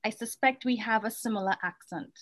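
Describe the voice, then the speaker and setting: A woman from Trinidad, a Spanish coach, introducing herself on a call in a friendly way, quick to laugh. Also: a little high, a bit bright, slight background noise. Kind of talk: guest self-introduction on a call.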